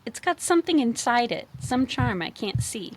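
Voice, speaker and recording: A woman's voice: a little high, sing-song, moderate pace, pitched above the performer's own voice, poor audio. A woman reading the part of a blunt, impatient male talking animal who lectures emphatically in a storybook tale.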